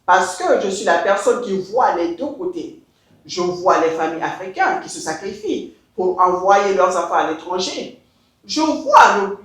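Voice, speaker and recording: A woman from Mali, an education consultant, giving an emphatic, deliberate scripted talk straight to her listeners. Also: fairly low pitch. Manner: emphatic, deliberate, switches into English. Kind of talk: scripted address to camera. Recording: very echoey room.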